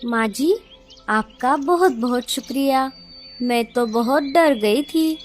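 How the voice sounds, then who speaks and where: A woman's voice: sits high, slow pace, faint music behind. A woman voicing a meek, grateful female bird in a cartoon. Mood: grateful, relieved. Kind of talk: cartoon dialogue. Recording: noisy background with bird sounds.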